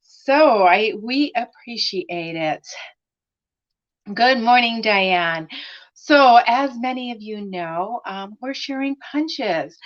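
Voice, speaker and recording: A woman hosting a craft live stream, chatting with viewers by name and using many fillers, her voice slightly raspy as she recovers from laryngitis. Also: fairly high pitch, lively intonation, slow. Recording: dull lo-fi live stream audio.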